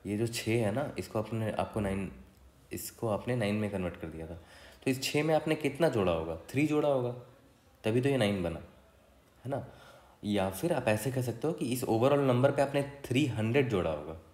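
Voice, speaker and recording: Indian guy doing a casual coding tutorial, lowish voice, keeps checking you follow, echoey room. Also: animated, moderate pace, a little mellow. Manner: informal, conversational, frequent check-in tags. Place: indoor room, voice-over for screen recording. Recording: roomy, echoey voice recording.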